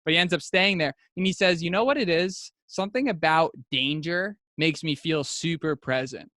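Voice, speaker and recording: A man's voice: a little high, moderate pace, bright, forceful tone, no echo. A man hosting a casual podcast, telling stories in a laid-back, slangy way. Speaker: podcast host.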